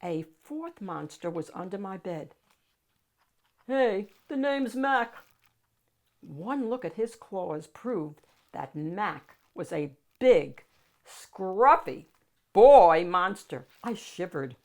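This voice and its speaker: A woman's voice: on the low side, expressive, slow pace, darkish tone. A woman narrating a children's picture book expressively as its reader and host.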